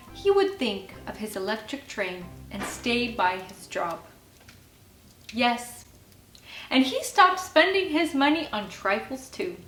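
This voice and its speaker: Very animated, high-voiced woman storyteller. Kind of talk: expressive scripted bedtime story narration. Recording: roomy sound with faint music behind.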